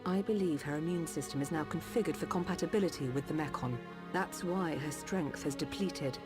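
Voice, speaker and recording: Female game doctor played by an actress, calm and dutiful, lower register, prominent music underneath. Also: a little fast, natural voice. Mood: grave, clinical. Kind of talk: video game cutscene voice acting.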